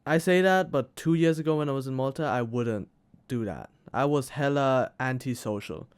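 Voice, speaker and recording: Young Thai male co-host, English as a second language, smooth clear tone, halting delivery. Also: medium pitch. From Thailand. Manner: halting, with restarts and "hella" slang. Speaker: podcast co-host. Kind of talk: casual chat.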